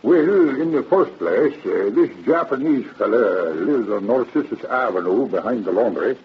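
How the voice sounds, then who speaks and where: A man's voice: medium pitch, lively intonation, normal speed, husky, muffled recording. An actor playing an older, talkative and obliging night watchman in a folksy, hesitant drawl, in old-time radio drama style. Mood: confiding.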